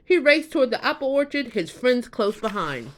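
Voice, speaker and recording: A woman reading a children's bedtime story aloud as an expressive storyteller. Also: on the high side, normal speed.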